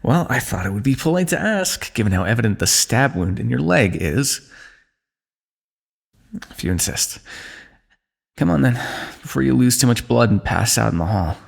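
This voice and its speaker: A man voicing a wry, wounded fantasy ruler who teases an old comrade in a calm, dry way, in an ASMR roleplay. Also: mid-range voice, normal speed, gravelly, pauses often, breathy edge. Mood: dry teasing. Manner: dry, teasing, calm understatement.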